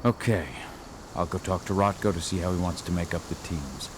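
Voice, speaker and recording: An actor playing a terse, serious, gruff exiled warrior and father in a video game cutscene. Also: low voice, brisk, background noise, lightly acted. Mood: sober.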